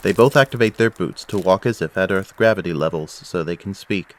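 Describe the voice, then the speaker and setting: A man's voice: sits low, fairly quick. A man giving scripted narration for an audio drama, telling the story as its narrator. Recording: faint background noise.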